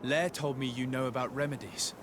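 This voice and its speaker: A man's voice: mid-range voice, fairly even intonation, brisk, background noise, lightly acted. A man playing a courteous, composed noble envoy in video game cutscene dialogue. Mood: calm, polite.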